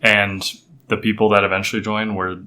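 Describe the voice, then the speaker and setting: A young man with a low voice and a bright, forceful edge to it. He tells his story casually, pausing often and filling gaps with small words. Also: level tone. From Colorado. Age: 20s. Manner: halting, many fillers and restarts, laughs easily. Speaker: podcast guest.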